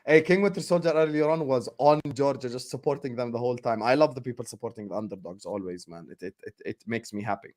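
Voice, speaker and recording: A man's voice: medium pitch, fast, hardly any pauses, a little mellow. A man hosting a football livestream with informal, teasing banter, reading out viewer messages and steering the panel. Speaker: livestream host.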